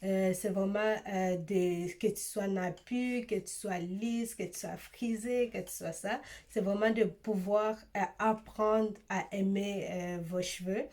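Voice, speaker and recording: A young woman from eastern Congo, Swahili by birth, answers her viewers' questions in a relaxed vlog. She talks at a middle pitch and often stops to search for words, filling the gaps with "euh". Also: takes it slowly, few pauses. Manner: hesitant, many "euh" fillers and restarts. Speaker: hair care YouTuber.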